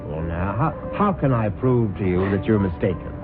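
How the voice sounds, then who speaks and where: An actor playing a scheming husband who plots to kill his rich wife and narrates it, smooth and falsely affectionate, in a radio mystery drama. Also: on the low side, very animated, moderate pace. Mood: sly. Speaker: narrator and lead character. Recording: tinny old radio broadcast with music.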